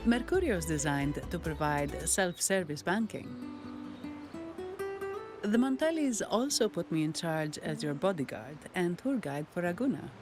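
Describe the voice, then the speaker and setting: A woman's voice: fairly low pitch, sing-song, measured pace. A woman playing a formal, composed, drily polite bank employee and bodyguard in a video game. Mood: composed, professional. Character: composed, businesslike female bank staffer and bodyguard. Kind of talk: scripted video game dialogue. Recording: game music behind the voice.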